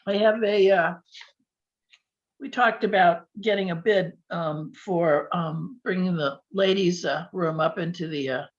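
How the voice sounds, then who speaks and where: A woman on a committee who is losing her voice, reporting at a meeting with many fillers and throat-clearing. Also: lowish voice, a little slow. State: hoarse, losing voice. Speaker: committee member. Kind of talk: meeting discussion. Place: public meeting room. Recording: dull lo-fi meeting recording.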